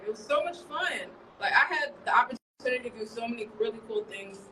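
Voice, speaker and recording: A woman in her thirties from Chicago, a journalist chatting in a relaxed, rambling way and laughing often during an interview. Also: a little high, distant mic, noisy background. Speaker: journalist guest. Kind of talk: casual interview chat. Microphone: livestream from a distance.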